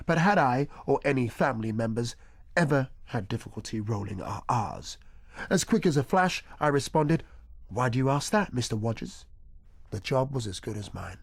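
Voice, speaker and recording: A man playing a nostalgic, washed-up supply teacher, telling his story as a deadpan scripted comic monologue. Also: medium pitch, breathy edge, dry recording.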